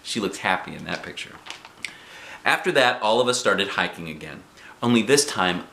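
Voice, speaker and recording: A man's voice: lowish voice, lively intonation, moderate pace, vocal fry, sharp tone, roomy sound, rough recording. A man reading a children's book aloud as a dad would, with playful, theatrical expression. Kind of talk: animated storybook narration.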